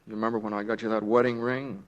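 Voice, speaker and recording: An American actor playing a meek, flustered young lawyer and husband who stammers hesitantly in a 1940s radio drama. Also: male voice, lowish voice, flat delivery, fast talker, highs cut off, natural voice. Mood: self-doubting. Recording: vintage 1940s radio broadcast.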